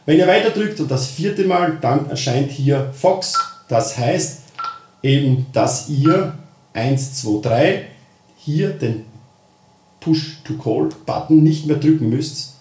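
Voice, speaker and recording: A man's voice: on the high side, animated, slow, darkish tone. Austrian man explaining, in an echoey room. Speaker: presenter of a product tutorial. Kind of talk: spoken how-to explanation of a gadget. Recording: roomy, echoing sound.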